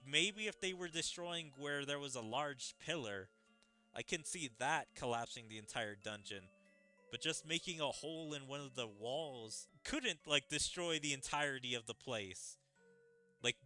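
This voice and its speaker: A man gives relaxed, off-the-cuff game commentary. He rambles and speculates as he goes, in a bright voice, with music playing underneath. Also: medium pitch. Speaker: gaming streamer. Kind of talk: casual let's-play commentary between read-aloud dialogue.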